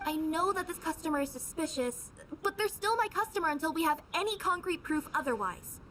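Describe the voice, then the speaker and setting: A woman's voice: very high voice, animated, brisk, fairly bright tone, a little hiss or hum. A woman voicing a cute, conscientious young female cat spirit courier in an anime game. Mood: earnest.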